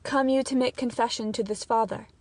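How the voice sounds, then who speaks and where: A woman playing a courtly, confident young nobleman in a measured, formal Shakespearean dramatic reading. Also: fairly high pitch, steady tone, a little mellow, no echo, natural voice. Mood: courtly, assured.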